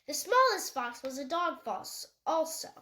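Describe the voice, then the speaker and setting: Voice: high voice, big pitch swings, a little slow, pushed and bright. A young reader, a child or young teen, narrating a story read aloud from a book. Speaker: audiobook reader.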